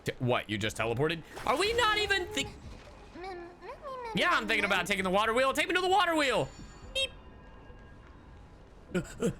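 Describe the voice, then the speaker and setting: Male VTuber game streamer: lively, wide-ranging delivery, playful exclamations, light music underneath. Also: extremely high pitch, moderate pace, pauses often. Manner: playful, theatrical, exclaiming, repeats phrases. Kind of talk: live game stream commentary, reading choices aloud.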